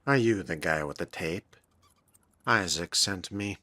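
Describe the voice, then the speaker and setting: A man's voice: sits low, unhurried. A man role-playing in character through a video game, muttering dry, sardonic commentary to himself. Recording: loud music behind the voice.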